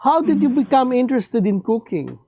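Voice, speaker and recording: Interviewer, friendly, animated intonation. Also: high-pitched, normal speed. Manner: friendly, lots of short backchannel reactions. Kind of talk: casual interview.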